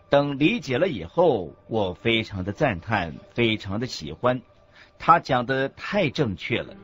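A man playing a Buddhist monk teacher in a scripted radio lecture, husky, expressive and didactic. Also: mid-range voice, full character voice. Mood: earnest teaching. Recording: dull lo-fi recording with faint music.

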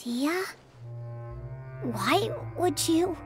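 A woman's voice: very high voice, big pitch swings, extremely slow, over music, exaggerated voice. A woman voicing an innocent, earnest small boy from a desert village in a video game, with a childlike voice. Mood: worried.

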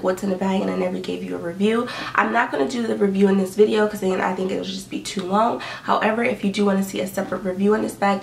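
A woman's voice: medium pitch, gravelly. A young woman chatting casually to camera in her bedroom, a bit stuffy and sniffly from allergies, chatty and upbeat with lots of "like" and "um". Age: young adult. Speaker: beauty and fashion vlogger. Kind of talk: casual what's-in-my-bag chat. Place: bedroom indoors. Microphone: camera in front at desk distance. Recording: roomy bedroom echo, mic a bit far.

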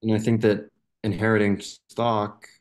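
A man's voice: fairly low pitch, little pitch movement, normal speed, a crowd in the background. A young man on a video call, a student tentatively reasoning aloud through practice exam questions. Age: young adult. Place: indoor room on a video call.

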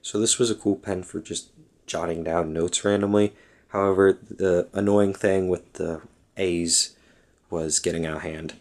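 Low-voiced young man rambling about pens. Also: fairly even intonation, measured pace, a little mellow. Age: young adult. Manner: rambling and self-correcting, lots of ums. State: hoarse. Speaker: vlogger. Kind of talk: casual show-and-tell talk. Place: at a desk at home, indoors.

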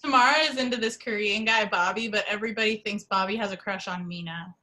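A woman's voice: medium pitch, quick pace, pushed and bright, natural voice. A woman playing an eager, gushing seventeen-year-old schoolgirl at a table read. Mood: chatty. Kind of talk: table-read acting over video call.

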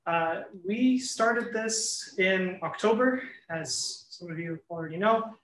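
A woman's voice: mid-range voice, takes it slowly. A woman hosting a campus talk show, welcoming the audience with lots of fillers. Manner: many "uh" fillers, loose. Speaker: event host. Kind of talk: welcoming introduction. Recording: echoey classroom, faint noise.

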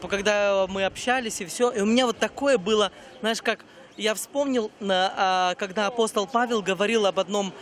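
A man in his thirties, a guest minister in an outdoor street interview, telling stories in an animated way into a handheld mic. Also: sits high, normal speed. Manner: animated storyteller, acts out quotes. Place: busy pedestrian street outdoors. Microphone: host's handheld mic.

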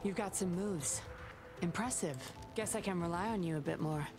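A woman voicing a sly, teasing young female undercover agent in a video game, with a smooth, playful delivery over game music. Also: lowish voice, normal speed, lightly acted. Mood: confident. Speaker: game character.

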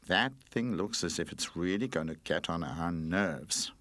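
Casual: calm sensible cushion toy, quiet hushed voice, some guy doing it. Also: low voice, a little slow, no echo.

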